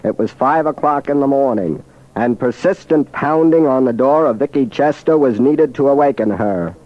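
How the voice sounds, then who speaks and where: A man's voice: medium pitch, normal speed. American guy reading a scripted crime story, old time radio, muffled recording. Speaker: guest narrator.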